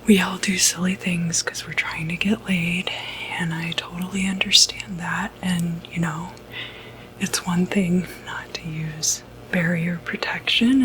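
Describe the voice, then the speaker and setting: A woman whispering an ASMR roleplay as a sympathetic, non-judgmental doctor, speaking gently with reassuring, hesitant pauses. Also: lowish voice, slight background noise, low-quality recording. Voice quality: breathy, unvoiced whisper. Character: sympathetic, non-judgmental doctor who treats demonic possession.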